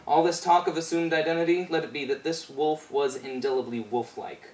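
A man's voice: a little high, steady tone, a little fast, a little mellow. A man in his twenties performing a rhyming spoken-word fairy tale with a playful, rhythmic delivery. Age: 20s. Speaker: storyteller performer. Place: small bare indoor room. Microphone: far camera microphone. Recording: roomy, distant camera mic with background noise.

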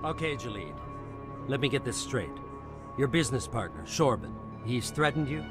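A man's voice: mid-range voice, sing-song, moderate pace, music in the background, natural voice. A man playing a dismissive, impatient security officer in a video game, curt and skeptical. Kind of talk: video game character dialogue.

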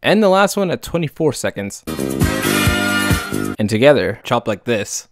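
A man's voice: medium pitch, very animated, unhurried. A man giving a casual, playful and enthusiastic scripted voice-over about music. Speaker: music video essayist.

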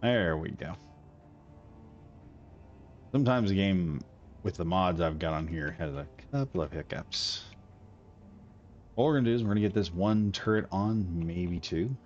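A man's voice: deep voice, expressive, measured pace, long pauses, a little mellow. A man streaming a building game, rambling casually and talking himself through each step as he builds. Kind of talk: casual gameplay commentary. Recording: slightly dull audio with faint music.

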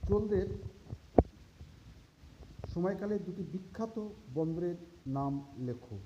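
A teacher reading out and explaining exam questions in short, deliberate phrases with pauses. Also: mid-range voice, animated. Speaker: teacher going through an exam paper. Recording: muffled lo-fi recording with clear background noise.

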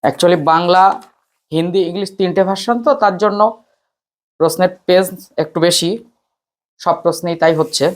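A man's voice: sits high, fairly even intonation, normal speed, pauses often. Man guiding students informally. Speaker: teacher presenting an exam paper to students. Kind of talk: informal exam paper walkthrough for students.

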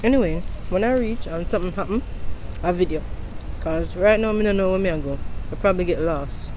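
A woman's voice: on the low side, a little fast. A young woman with a Jamaican accent chatting casually and playfully to her phone camera out on a city street. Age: young adult. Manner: playful, teasing. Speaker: vlogger. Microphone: phone at arm's length. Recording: noisy busy street, handheld recording.

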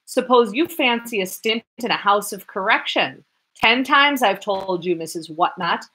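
A hot-tempered police lieutenant, as a woman reads him, barking out his scolding at full volume. The voice is louder and more forceful than the narration around it. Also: on the low side, poor audio. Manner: shouting, scolding.

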